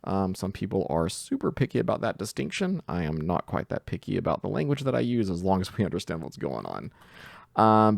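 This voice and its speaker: A man's voice: low-pitched, vocal fry, darkish tone, dry recording, studio quality. A man giving a casual coding tutorial, explaining things step by step in an informal way. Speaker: programming tutor.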